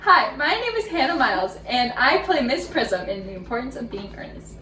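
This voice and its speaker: A woman's voice: on the high side, very animated, moderate pace, over a noisy crowd. A young woman presenting a casual step-by-step tutorial. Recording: echoey, distant from the mic. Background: background music.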